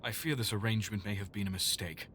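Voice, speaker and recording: A man's voice: fairly low pitch, fairly even intonation, quick pace, fairly bright tone, breathy edge. An actor playing a stern, exasperated, practical man in an audio drama, formal and firm.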